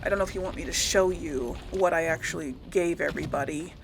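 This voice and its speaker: A woman's voice: low voice, fast, creaky, low-quality recording. Chatty woman gamer with background noise. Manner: rambling, chatty, thinking aloud. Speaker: gaming video host. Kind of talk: casual let's-play commentary to viewers.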